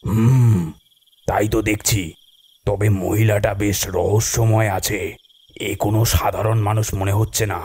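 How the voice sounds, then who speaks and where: A man's voice: fairly low pitch, little pitch movement, measured pace, darkish tone, husky. A man voicing a hot-tempered, bossy village uncle in a cartoon, scolding and suspicious. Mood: wary, suspicious. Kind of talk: cartoon voice acting.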